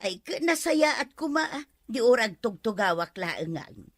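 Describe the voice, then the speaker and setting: A long-suffering, grumbling village housewife voiced by a woman in a comedy audio drama. She gossips and complains in a somewhat high voice with a dry, close sound. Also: sing-song, a little slow, no room sound, full character voice. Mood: gossipy complaint. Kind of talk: comedy radio drama acting.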